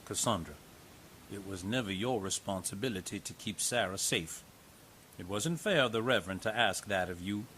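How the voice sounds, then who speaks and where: A man playing a folksy, gently joking investigator who reassures a young witness. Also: fairly low pitch, moderate pace, slight background noise, lightly acted. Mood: reassuring.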